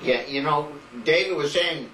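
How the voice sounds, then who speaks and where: A man's voice: fairly high pitch, normal speed, pushed and bright. A middle-aged man, patiently questioning his mother about family history. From Rhode Island. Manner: patient, questioning, a bit rambling. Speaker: son interviewing his mother. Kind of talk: casual family interview. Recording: echoey home video, distant mic, hiss.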